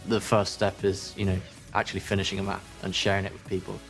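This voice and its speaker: Man, team manager: low voice, relaxed and hedging, music bed. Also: fast, vocal fry. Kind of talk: interview soundbites.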